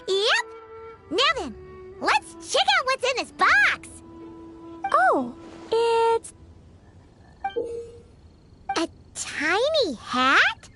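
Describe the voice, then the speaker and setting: A woman voicing a playful, excitable childlike little character in a video game, with a cutesy, exuberant manner. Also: extremely high pitch, exaggerated voice. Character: excitable, playful little girl and tiny fairy companion, childlike.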